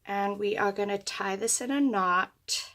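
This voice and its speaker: A woman's voice: medium pitch, measured pace, bright, forceful tone. A woman demonstrating a paper craft who is losing her voice, giving chatty step-by-step instructions at her craft table. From United States. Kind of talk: craft tutorial.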